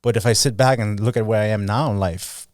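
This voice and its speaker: A man in his thirties talks fast and upbeat as a podcast co-host, filling his speech with casual asides. His voice sits a little low. The recording is dry and close-miked. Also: steady tone, darkish tone, no echo. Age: 30s. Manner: upbeat, chatty, says "you know" and "dude" a lot. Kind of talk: casual motivational chat.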